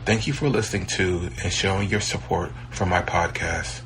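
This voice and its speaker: A man hosting a podcast, giving a relaxed, friendly sign-off. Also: sits low, moderate pace, over music, rough recording. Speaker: podcast host. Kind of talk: casual podcast outro.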